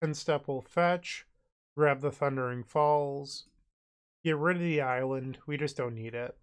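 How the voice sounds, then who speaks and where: A man's voice: mid-range voice, unhurried, clean tone. A man with a cold, sounding congested and nasal, casually narrating his online card game move by move as he thinks aloud. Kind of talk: casual gameplay commentary.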